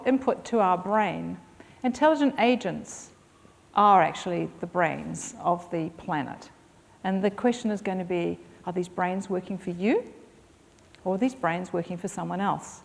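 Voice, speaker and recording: A woman's voice: mid-range voice, vocal fry, darkish tone. A middle-aged woman with a mixed British and Australian accent giving a conference keynote, fluent but with frequent ums and uhs. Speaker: keynote speaker. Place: conference hall. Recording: long hall reverb.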